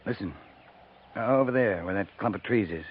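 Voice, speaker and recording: An actor playing a steady, weary US cavalry captain in a 1950s radio western, speaking in a calm, laconic, plain-spoken way. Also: male voice, on the low side, fairly quick, lightly acted. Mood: grim, watchful. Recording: noisy, tinny 1950s radio recording.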